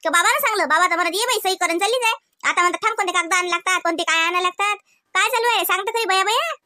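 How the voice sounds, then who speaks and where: A very shrill, piercingly high cartoon village aunt, with a rural Vidarbha accent. She nags and grumbles with suspicious, pointed questions. The sound is dry and close. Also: rapid-fire, pushed and bright, dry recording, full character voice. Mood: indignant. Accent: rural Maharashtra, Vidarbha. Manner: nagging, rhetorical questions. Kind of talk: comedy cartoon dialogue.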